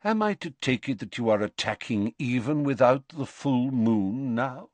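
A man voicing a wise old wizard in an audiobook, measured and polite, calm with a gently wry manner. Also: medium pitch, no room sound, pitched above the performer's own voice, natural voice. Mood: cool disgust.

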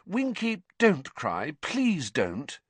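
Man putting on a gentle, caring young schoolgirl, higher-pitched. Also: huge pitch swings, extremely slow, slightly rough, dry recording, exaggerated voice. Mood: concerned, soothing.

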